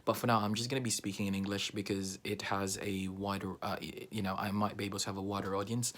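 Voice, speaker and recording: Filipino guy, bisaya first language, chatty vlog to camera, kinda low voice, lots of ums and restarts. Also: level tone, fast talker, a bit bright, a little hiss or hum. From Philippines. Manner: hesitant, many fillers and restarts. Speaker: vlogger.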